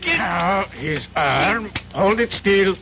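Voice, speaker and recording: A man playing a brisk, sinister doctor with a creaky voice in an old radio drama. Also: high-pitched, expressive, sharp tone, slight background noise, exaggerated voice. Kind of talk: 1970s radio drama acting. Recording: muffled old radio recording.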